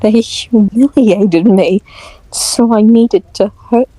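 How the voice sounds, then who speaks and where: A woman playing a bitter, grief-stricken young nobleman with a halting, intense delivery in an audio drama. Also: mid-range voice, mellow, no room sound, rough recording. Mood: grieving, bitter.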